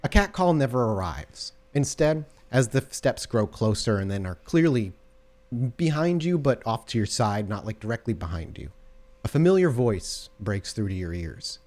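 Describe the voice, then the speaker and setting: A man's voice: fairly low pitch, big pitch swings, moderate pace, a little mellow. A man acting as game master, narrating scenes for a tabletop roleplay game in a descriptive way.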